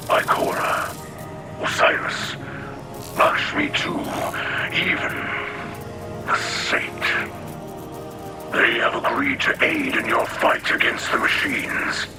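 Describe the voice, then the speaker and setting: A man voicing a solemn alien sacred guide in a breathy, rasping growl, measured and formal, for a video game. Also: mid-range voice, exaggerated voice. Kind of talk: video game cutscene acting. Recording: loud music behind the voice. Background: loud game score.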